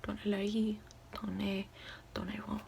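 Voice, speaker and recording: Softly whispering woman, slow and even. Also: mid-range voice, a little hiss or hum. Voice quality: soft, breathy whisper. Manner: slow, halting, sounding out words one by one. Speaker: ASMR creator reading a French dictionary. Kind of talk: ASMR whispered word-by-word reading.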